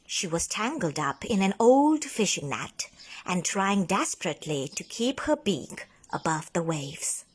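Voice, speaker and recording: Slow, expressive woman reading a bedtime story. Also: fairly low pitch, breathy edge. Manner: deliberate, dramatic, drawn-out emphasis. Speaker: speech and drama teacher reading a story.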